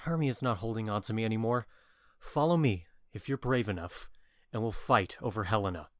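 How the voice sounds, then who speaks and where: A man's voice: lowish voice, a little fast, fairly bright tone, no echo, clean studio sound, close to an everyday voice. Man acting scornful, impetuous young lover. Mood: contemptuous, challenging. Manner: scornful, vehement, declamatory. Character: bewitched, cruel, impetuous young male lover. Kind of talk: modernized Shakespeare audio drama acting.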